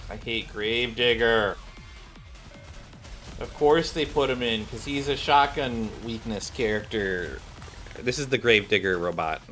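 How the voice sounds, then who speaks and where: A man's voice: mid-range voice, measured pace, fairly bright tone, faint music behind. A man giving slangy, offhand commentary as a gaming streamer. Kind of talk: casual gameplay commentary.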